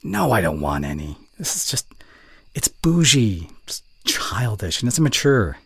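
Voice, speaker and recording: Casting: male actor for snarky college student in ASMR roleplay, young, low husky voice, teasing, grumbling, secretly fond. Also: very animated, measured pace, fairly bright tone, no room sound, heavily acted. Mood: exasperated, scolding. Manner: teasing, grumbling, with sighs and breathy murmurs. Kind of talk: intimate ASMR roleplay acting.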